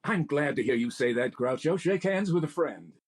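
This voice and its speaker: A man's voice: medium pitch, expressive, moderate pace, husky. An actor playing an earnest, theatrical straight man, a famous director, in a 1940s radio comedy.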